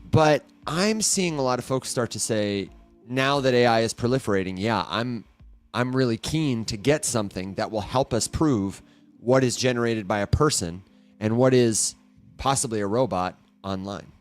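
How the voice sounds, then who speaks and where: A man's voice: mid-range voice, lively intonation, normal speed, faint music behind. A man co-hosting a morning podcast in casual chat, conversational, asking his co-host questions.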